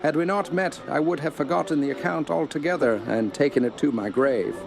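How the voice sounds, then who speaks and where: An actor playing an elderly, eager professor in a radio drama, formal and courteous with a creaky voice. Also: male voice, fairly high pitch, a little fast, background noise. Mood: grateful, eager. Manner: formal, polite, animated.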